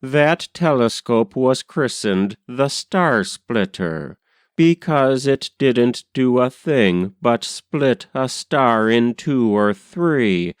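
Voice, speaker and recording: A man reading narrative poetry aloud in a measured, deliberate storytelling way. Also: medium pitch, clean tone, dry recording, clean studio sound. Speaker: poetry reader. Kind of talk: measured literary poem recitation.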